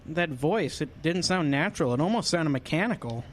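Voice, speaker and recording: A woman's voice: low-pitched, lively intonation, moderate pace. A woman playing a friendly, gossipy small-town coffee shop server in a radio drama. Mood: polite.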